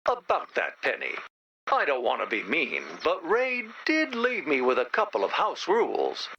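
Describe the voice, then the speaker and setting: An actor voicing an anxious, childlike, earnest robot in an animated video game, with a timid, hesitant manner and a robotic filter. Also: mid-range voice, moderate pace, creaky, husky, lo-fi sound, full character voice. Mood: worried, earnest. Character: anxious, childlike, well-meaning robot, literal-minded.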